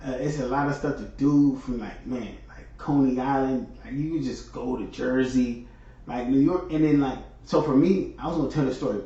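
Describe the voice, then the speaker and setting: A man's voice: mid-range voice, moderate pace, mellow. A man from California hosting his stream, talking on his own in a rambling, chatty way full of fillers. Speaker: host. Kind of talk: casual solo monologue. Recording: echoey, distant, dull sound.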